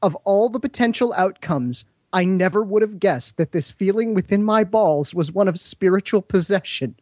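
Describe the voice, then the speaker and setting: A man reads a ridiculous story aloud as if it were serious drama, breaking into laughter now and then. His voice is husky and swings widely in pitch. The sound is dry and close to the mic. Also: expressive, moderate pace, a little mellow, no room sound. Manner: reads with frequent laughs and a mock French doctor voice. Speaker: podcast co-host reading aloud. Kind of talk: comic dramatic reading.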